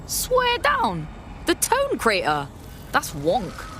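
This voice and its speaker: A woman's voice: a little high, huge pitch swings, drawn-out words, bright, forceful tone, a little hiss or hum, full character voice. A woman playing a streetwise young hacker with a London accent in a video game, slangy and punchy. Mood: indignant, streetwise. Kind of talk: game cutscene voice acting.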